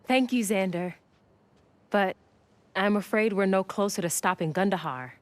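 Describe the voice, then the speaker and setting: A woman's voice: medium pitch, normal speed, no room sound, lightly acted. A woman playing a capable, serious warrior in a game, composed and businesslike. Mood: concerned.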